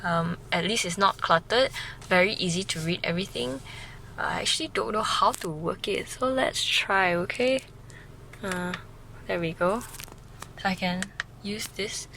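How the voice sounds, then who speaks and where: A young woman giving a casual car review, chatty and excitable, with bursts of delight. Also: medium pitch, vocal fry, slight background noise, low-quality recording. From Malaysia. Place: in and around a car.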